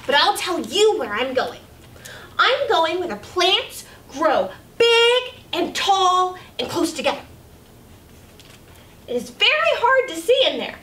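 A young woman reading a picture book aloud as a children's storyteller, with animated, exaggerated delivery, in a small room at a distance from the mic. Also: very high voice, slow pace. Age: young adult. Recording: roomy, distant camera mic, faint noise.

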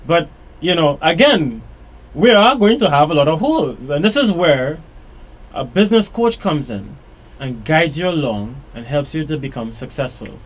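A Trinidadian man hosting a live radio interview from a small studio, talking upbeat in quick bursts into a boom mic. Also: lively intonation, slightly rough, low-quality recording. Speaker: radio show host. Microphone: studio boom mic with headphones.

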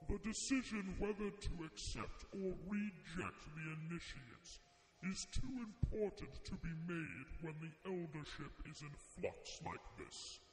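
An actor playing the stern, formal elder of a secret brotherhood of killers. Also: male voice, high voice, lively intonation, a little slow, slight background noise. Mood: stern, ceremonial. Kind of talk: radio drama acting. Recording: echoey long hall reverb.

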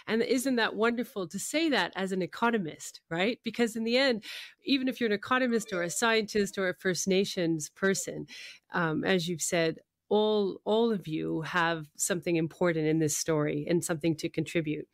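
A woman's voice: on the low side, moderate pace, dry recording. A woman hosting a conversational radio interview, affirming her guest and often checking in as she goes.

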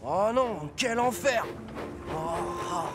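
A man's voice: sits high, expressive, drawn-out words, loud music behind the voice, heavily acted. A man voicing a scruffy, good-natured young engine in a children's cartoon, with a boyish, earnest manner. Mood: dismayed. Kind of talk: dubbed children's cartoon acting.

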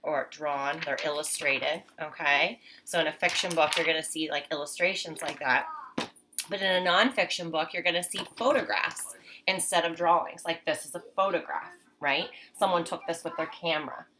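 A woman's voice: sits low, steady tone, normal speed, sharp tone, slight background noise. A woman in her thirties giving a read-aloud lesson for children, explaining simply and often checking in with short tags. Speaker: mother and teacher reading aloud for children. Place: indoors, small room at home.

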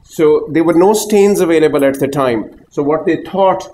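A middle-aged man from Pakistan, a consultant haematologist, giving a conference talk to patients in a small room, halting and full of fillers. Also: a little high, warm tone, slight background noise. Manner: hesitant, many fillers, rambling asides.

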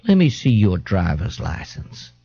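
A man voicing a gruff but uncertain city policeman. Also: deep voice, wildly swooping pitch, measured pace, warm tone, no echo, lo-fi sound, pitched below the performer's own voice, heavily acted. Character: unsure city policeman, gruff then wavering.

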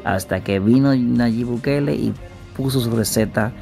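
A man's voice: mid-range voice, unhurried, gravelly, warm tone, faint music behind. A man in his thirties giving casual, chatty commentary to viewers from home on a webcam. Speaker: reaction streamer.

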